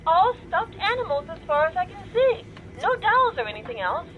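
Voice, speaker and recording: Muffled phone line, high animated actress as a woman hostage, uneasy, earnest, audio drama. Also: normal speed. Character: uneasy toy store woman held hostage. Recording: muffled phone line, faint background noise.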